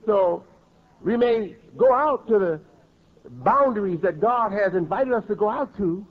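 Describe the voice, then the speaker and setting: High-voiced preacher on tinny tape. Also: male voice, animated, a little slow. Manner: emphatic, repeats and rephrases words. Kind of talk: sermon.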